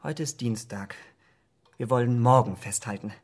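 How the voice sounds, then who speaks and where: Young, vain, impressionable gentleman played by a male actor for radio drama. Husky-breathy voice, mid pitch, dramatic romantic phrasing. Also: moderate pace, close to an everyday voice. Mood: light, petulant. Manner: rapturous, earnest, dramatic phrasing. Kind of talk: German radio drama acting.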